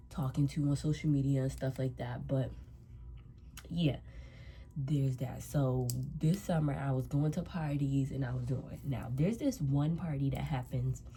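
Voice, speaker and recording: A woman's voice: rumbling low, warm tone. A young woman in her twenties with a stuffy nose from a cold, chatting loosely as she tells a story while doing her makeup. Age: 20s. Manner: rambling, repeats herself, loose slangy talk. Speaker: vlogger. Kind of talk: casual storytime chat.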